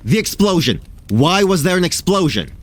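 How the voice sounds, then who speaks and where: A man's voice: sits high, very animated, moderate pace. A man playing a hot-tempered quiz show host in an audio drama, with an emphatic, dramatic delivery. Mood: angry, demanding.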